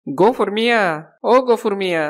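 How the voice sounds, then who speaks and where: A man's voice: high voice, normal speed, natural voice. A man playing a landlord's busy, businesslike steward in a cartoon fairy tale, speaking a dialect. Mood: brisk, businesslike. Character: landlord's male steward, brisk village official. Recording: background music under the voice.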